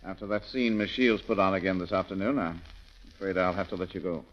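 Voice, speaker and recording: An actor playing a smooth-talking, businesslike shop boss, polite and matter-of-fact, in 1930s radio romance style. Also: male voice, low-pitched, steady tone, fast talker. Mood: businesslike, persuasive. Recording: muffled, crackling 1930s radio recording.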